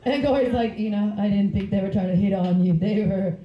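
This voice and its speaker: A woman's voice: mid-range voice, a little fast, reverberant, muffled recording, noisy background. A woman, doing a rambling, giggly stand-up comedy set on a club stage. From Finland. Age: 30s. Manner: chatty, giggly, rambling asides.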